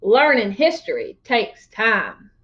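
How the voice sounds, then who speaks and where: Old country yarn-spinner, played by a woman: crotchety, emphatic, folksy storybook delivery, high register, lo-fi sound. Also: expressive, gravelly, full character voice. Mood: folksy, boastful. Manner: folksy, emphatic, drawn-out exaggeration. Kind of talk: storybook read-aloud character voice.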